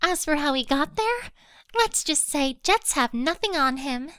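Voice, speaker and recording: A woman playing a wry, weary, secretive teenage girl in an audio drama, with expressive delivery. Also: sits high, a little slow, dry recording.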